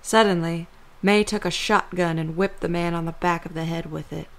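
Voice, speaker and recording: A woman's voice: on the low side, fairly even intonation, normal speed. A woman reading scripted story narration for an audio drama as the narrator.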